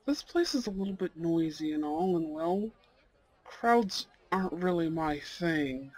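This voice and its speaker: Male actor as young Goth blind date: higher register, slow delivery, sincere, hesitant, intimate ASMR roleplay. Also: expressive, rough recording. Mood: tender, shyly hopeful. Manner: soft-hearted, hesitant, sincere.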